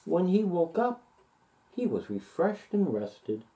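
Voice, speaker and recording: A man reading a children's story aloud expressively, with deliberate, sing-song emphasis. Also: high-pitched, sing-song, mellow. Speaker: storybook reader.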